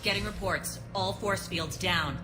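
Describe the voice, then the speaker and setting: A woman's voice: on the low side, measured pace, music in the background, lightly acted. A woman playing a principled alien resistance soldier in a video game, anxious but defiant, with an earnest, firm way of talking. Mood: earnest, reproachful. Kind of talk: video game cutscene voice acting.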